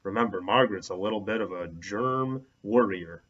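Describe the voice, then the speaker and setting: A man's voice: lowish voice, normal speed, a bit bright. A man reading a children's story aloud as a teacher would, expressively, stressing and drawing out words. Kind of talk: animated children's read-aloud narration. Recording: dull, lo-fi sound.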